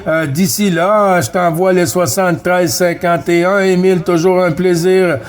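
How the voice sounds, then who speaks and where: A man's voice: sits high, level tone, unhurried. A man with a Quebec French accent chatting casually as a CB radio operator, friendly and courteous, full of radio jargon. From Quebec, Canada. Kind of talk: casual radio contact chat.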